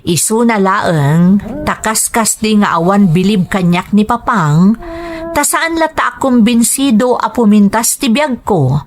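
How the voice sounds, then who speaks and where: Letter narration for radio drama: hopeful young painter , read by a woman, expressive melody, Ilocano Filipino accent. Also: mid-range voice, a little slow, natural voice. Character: hopeful young painter telling their life story.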